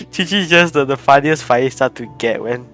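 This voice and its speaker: A man's voice: fairly high pitch, fairly bright tone. Giggly male game streamer over music. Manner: giggly, flustered, repeats words. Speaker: game livestreamer. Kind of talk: casual live gameplay chat. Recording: game music clearly behind the voice. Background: video game music.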